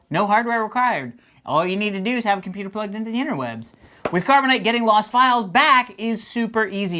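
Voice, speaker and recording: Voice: medium pitch, fairly bright tone, dry recording. A show host chatting casually and enthusiastically, like an informal film reviewer, with some background noise.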